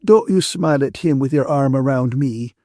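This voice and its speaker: Man voicing a bitter, scolding woman, pitched high. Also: moderate pace, warm tone, no echo, clean studio sound, lightly acted. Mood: bitter, accusing. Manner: accusing, emphatic, aggrieved. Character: bitter, scolding, tearful woman, indignant and wounded.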